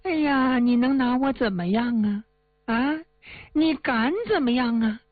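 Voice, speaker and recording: A woman's voice: on the high side, a little slow, no echo. A woman voicing an arrogant, jeering male officer who mocks and threatens. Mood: sneering. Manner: mocking, jeering, cackling. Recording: dry, tinny phone-like sound.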